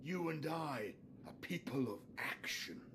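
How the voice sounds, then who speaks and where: Game villain: vengeful Sith lord, high-pitched for a man, slow, theatrical taunts. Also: lively intonation, background noise. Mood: scornful, sly. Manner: theatrical, taunting, drawn-out delivery. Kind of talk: video game voice acting.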